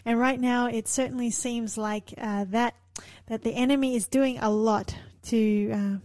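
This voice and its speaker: A young woman co-hosting a radio show, with a slightly high, soft voice and frequent laughs. Her voice is close and dry, with no room sound. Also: fairly even intonation, unhurried, dry recording. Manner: soft-spoken, laughs often. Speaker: radio co-host. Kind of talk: casual radio chat.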